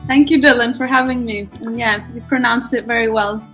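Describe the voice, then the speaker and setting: A woman's voice: a little high, steady tone, fairly quick, a bit bright, faint music behind. Young woman, reflective. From Portugal. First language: Portuguese. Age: 20s. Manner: reflective, searching for words, light laughter. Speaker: guest, photographer. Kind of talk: conversational podcast interview.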